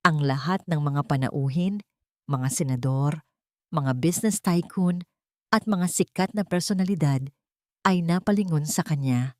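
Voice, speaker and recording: Woman, Filipino accent, slightly low pitch, dry recording, solemn theatrical audiobook narration. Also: darkish tone, no echo. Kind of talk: dramatic scripted story narration.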